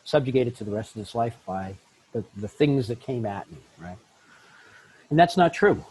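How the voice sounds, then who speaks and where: A middle-aged man, a life coach, telling his story in an interview, often jumping into lively quoted voices. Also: normal speed, slight background noise, low-quality recording. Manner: acts out quoted voices with big pitch jumps. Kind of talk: animated storytelling in a podcast interview.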